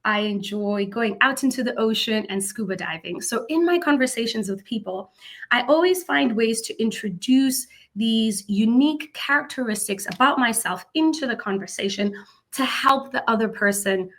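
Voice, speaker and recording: A bright, clear-toned woman from South Africa leads an upbeat lesson for students, her voice sitting a little high. She sounds encouraging and punctuates her points with emphatic exclamations. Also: animated, moderate pace. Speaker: presenter. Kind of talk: instructional talk to students.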